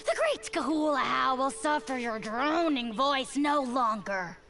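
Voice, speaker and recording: Sneering game dragon, high and gravelly, slow and lofty. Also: sing-song, sharp tone, full character voice. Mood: scornful. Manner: scornful, grandiose. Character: small boastful dragon spirit, haughty. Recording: game audio with music behind.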